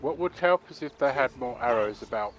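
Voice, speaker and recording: A man's voice: medium pitch, expressive, brisk, a bit bright, soft background music. A man giving casual, offhand gameplay commentary, thinking aloud. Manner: mumbling, offhand. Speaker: gaming commentator.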